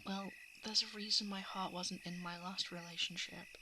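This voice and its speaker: A woman playing a shapeshifting monster who poses as a lovelorn crush in an ASMR roleplay, speaking softly and hesitantly, often slipping into whispers. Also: fairly low pitch, fairly even intonation, moderate pace, background noise, lightly acted. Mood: tender coaxing. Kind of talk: ASMR roleplay, intimate confession. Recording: night insect and frog ambience. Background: crickets, frogs and insects.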